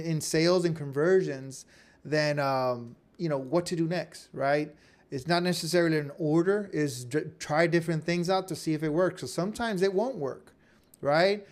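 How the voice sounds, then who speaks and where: A man giving an informal screen-share tutorial as an online instructor, talking conversationally and often checking in with "okay?" or "right?". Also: fairly high pitch.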